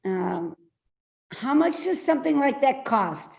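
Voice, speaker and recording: A woman's voice: sits high, sing-song, takes it slowly, vocal fry, darkish tone, full character voice. An actress playing a fussy, suspicious older mother in a naturalistic play reading, with a pedantic, contrary way of talking. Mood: hesitant, probing. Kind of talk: naturalistic readers theater acting.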